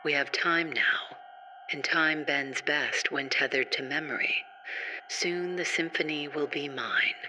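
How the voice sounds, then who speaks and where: An actor playing a coldly curious, controlling villain in an audio drama, musing in a deliberate, menacing way. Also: male voice, a little high, pushed and bright, a little hiss or hum, rough recording. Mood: quietly menacing.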